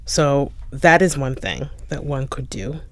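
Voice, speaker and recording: Reflective woman, halting delivery. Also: a bass voice, expressive. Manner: halting, reflective, searching for words. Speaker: playwright, interview guest. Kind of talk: casual panel discussion.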